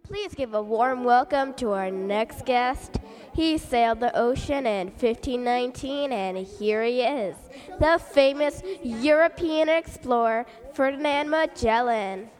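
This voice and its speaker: A woman's voice: a little high, a little slow, darkish tone. A woman hosting a mock interview show, upbeat and scripted. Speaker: host.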